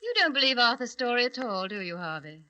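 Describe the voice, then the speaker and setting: An actress playing a cool, uneasy wife in old-time radio drama, speaking in pointed questions and retorts. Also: female voice, a little high, sing-song, fast, sharp tone, muffled recording. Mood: probing. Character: Susan, cool, uneasy wife challenging a friend. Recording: noisy, distant old radio recording.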